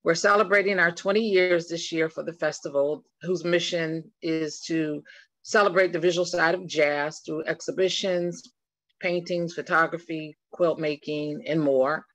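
A woman introducing herself at a community meeting on a video call, friendly and brisk. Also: low-pitched, steady tone, fairly bright tone, no echo. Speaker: arts festival founder. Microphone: video call, laptop camera.

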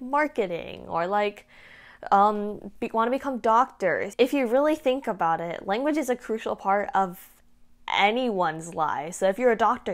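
A young woman in her late teens giving casual, frank advice to camera as a student vlogger. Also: mid-range voice. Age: late teens, high school student. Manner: informal, frank, peer-to-peer. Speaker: vlogger giving application tips. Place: small indoor room at home. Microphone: clip-on lapel mic.

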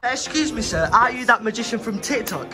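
A young man, vlogging from a convention hall with a phone at arm's length, mock-polite and excitable. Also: very high voice, fairly quick. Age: young adult. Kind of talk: comic vlog at a magic convention. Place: large indoor hall. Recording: loud crowd and music behind. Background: crowd chatter and music.

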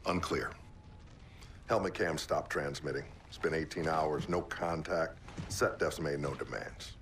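Sober game military officer, deep, matter-of-fact briefing, faint rumble behind. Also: male voice, steady tone, a little slow, a bit bright, exaggerated voice. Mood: grave. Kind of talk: video game cutscene acting.

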